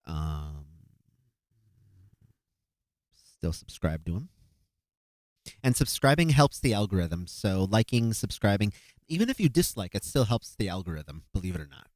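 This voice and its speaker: Very deep-voiced man thinking aloud. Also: big pitch swings, unhurried, pauses often, darkish tone, no echo. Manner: hesitant, thinks aloud with many fillers and restarts; calculating aloud while reviewing clips. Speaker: stream host judging beatbox entries. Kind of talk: casual live-stream commentary.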